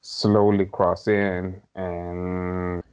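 A man's voice: low voice, flat delivery, drawn-out words, darkish tone, smooth. A man in his thirties from Somalia, a podcast guest thoughtfully recounting his childhood, hedging often and laughing lightly. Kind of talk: reflective personal storytelling. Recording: slightly dull lo-fi audio.

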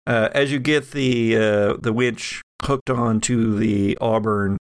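A man working as game master, improvising halting but vivid narration for a tabletop horror game on a podcast. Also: fairly low pitch, fairly even intonation, clean tone, dry recording.

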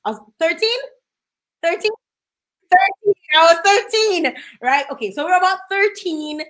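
A woman from Dominica with a Dominican accent, hosting a casual interview, bubbly and quick to laugh, slipping into Creole phrases. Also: very high voice, a bit bright. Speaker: host.

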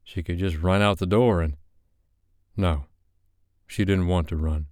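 A man reads romance fiction as an audiobook narrator. His voice is low, and the delivery is dramatic storytelling. The recording is very dry. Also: fairly even intonation, a little slow, darkish tone, no echo.

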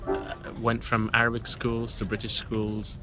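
Relaxed man over loud piano. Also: medium pitch, measured pace, poor audio. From Syria. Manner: relaxed, self-deprecating, laughs easily. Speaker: interview guest. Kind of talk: casual spoken life story. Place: room with a piano. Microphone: iPhone recording. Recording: rough phone recording, loud piano behind. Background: loud piano music.

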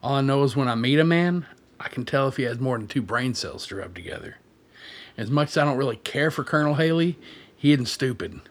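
An actor playing a gruff, cynical militia man in a radio play, blunt, plain-spoken and foul-mouthed. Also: mid-range voice, lively intonation, brisk, close to an everyday voice. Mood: scornful.

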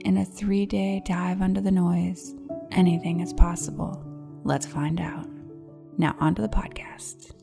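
A woman calmly reading a podcast announcement, soft-spoken. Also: lowish voice, unhurried, gravelly. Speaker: podcast co-host. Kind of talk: read announcement. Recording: music bed underneath.

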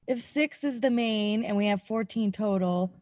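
A woman chatting casually as a podcast host, animated and giggly, with some casual swearing. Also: medium pitch, moderate pace, no echo, clean studio sound. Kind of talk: casual chat.

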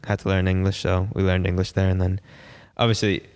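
Low-voiced man in a reverberant space. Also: completely flat delivery, fairly quick, a little mellow, clear, resonant tone. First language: Italian. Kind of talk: casual chat. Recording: long hall-like reverb.

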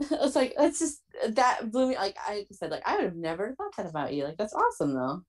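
A woman's voice: medium pitch, big pitch swings, moderate pace, breathy edge. A woman hosting a podcast in casual chat, enthusiastic and full of "like". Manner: enthusiastic, peppers talk with "like". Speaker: podcast host.

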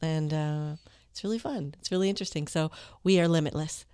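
A woman voice actor with a low, smooth voice that carries some authority, telling stories from her career in an interview and acting out the dialogue. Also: fairly quick, no room sound, clean studio sound. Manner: animated, tells stories with quoted dialogue. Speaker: voice actor guest. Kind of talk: conversational interview storytelling.

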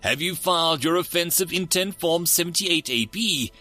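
A bored, officious woman clerk as acted out by a man, with a breezy customer-service patter. The voice sits high and bright, politely unbothered, in a comic space-invasion audio story. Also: normal speed, soft background music.

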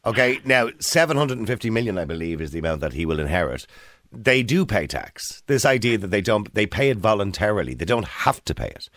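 A man hosting a call-in radio show, talking quickly and pushing back on callers. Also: lowish voice, animated, fairly bright tone, no room sound. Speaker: radio phone-in host. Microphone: studio mic.

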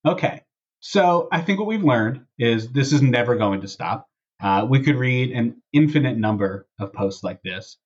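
Husky man hosting, dull earbud audio. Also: mid-range voice, lively intonation, moderate pace. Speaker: podcast host. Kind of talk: casual podcast chat. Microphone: wireless earbud microphone.

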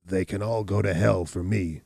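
A scornful hunchbacked landowner from a storybook, as a male narrator plays him. He sounds boastful and defiant, with a low, gravelly voice and a dark tone. His mockery is cruel and blasphemous. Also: fairly even intonation, moderate pace, no echo, studio quality, full character voice.

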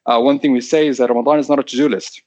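Fast-talking man guest in dry audio. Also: medium pitch, no room sound, muffled recording. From Tanzania. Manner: quick, animated storytelling with many fillers. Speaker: podcast guest. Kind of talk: conversational interview.

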